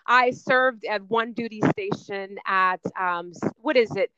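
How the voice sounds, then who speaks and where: A woman answers interview questions in an emphatic, self-assured voice. Her tone is smooth and resonant. The sound is dry and a little dull, with no room around it. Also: mid-range voice, measured pace, pushed and bright, dry recording. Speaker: guest, fitness and wellness coach.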